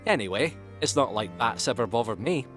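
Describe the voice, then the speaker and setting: Man voicing an excitable, swooping young pony adventurer. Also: mid-range voice, fairly quick, music in the background, close to an everyday voice. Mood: upbeat. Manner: animated, cheeky, upbeat. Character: excitable young adult male pegasus pony, cheerful nature-lover. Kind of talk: acted dialogue in a fan-made audio drama.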